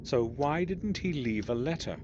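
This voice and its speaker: Actor as earnest, suspicious man, animated delivery. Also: mid-range voice, unhurried, close to an everyday voice. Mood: insistent, suspicious. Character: earnest suspicious man returning to family castle. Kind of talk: scripted video game voice acting. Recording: muffled, very noisy game audio.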